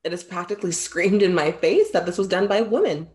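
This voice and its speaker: A woman's voice: on the low side, brisk, a little mellow, close to an everyday voice. A young woman acting an earnest, formal immortal muse in a staged reading, speaking precisely. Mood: passionate, admiring. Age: young adult. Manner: formal, precise, avoids contractions. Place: indoor room at home, video call.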